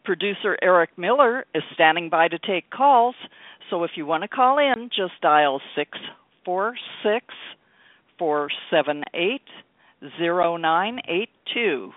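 A man with a markedly high voice presents as a radio host. The sound is dry and squeezed into a narrow phone-like band. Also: expressive, unhurried, dry recording.

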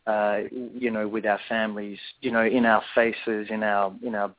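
A man's voice: on the low side, flat delivery, normal speed, no room sound. A man raised in Australia, a band musician answering interview questions in a rambling, casual way with many restarts. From born in South Africa, raised in Perth, Australia. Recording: tinny phone-line sound, dry.

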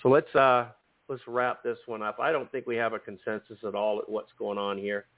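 A man hosting a casual sports podcast, hoarse and losing his voice, often repeating words as he starts a sentence. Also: on the low side, little pitch movement, a little fast, dry recording. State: losing his voice, sore throat. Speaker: podcast host. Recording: dry tinny phone-like line.

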